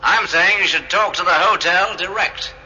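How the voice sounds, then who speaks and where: A man's voice: sits high, moderate pace, sharp tone, lo-fi sound, a little hiss or hum. An actor playing an observant, matter-of-fact starship crewman reasoning things out in a radio drama. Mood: reasoning, insistent.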